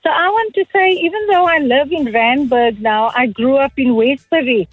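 High-voiced woman w/ cape coloured south african accent calling in, phone-line sound, sure of herself. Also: normal speed, no echo, soft background music. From Johannesburg, South Africa. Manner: earnest, self-assured. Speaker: caller, lecturer. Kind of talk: phone-in call.

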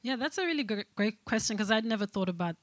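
A woman's voice: mid-range voice, lively intonation, fast talker, no echo. A woman hosting a live podcast, easygoing and affirming as she steers the chat. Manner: easygoing, affirming, hands the mic to the audience. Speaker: podcast host.

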